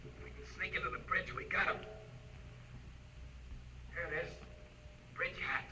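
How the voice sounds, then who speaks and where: An actor playing an eager, gung-ho young soldier in a 1950s radio drama. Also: male voice, high-pitched, moderate pace, background noise, natural voice. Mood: eager, tense.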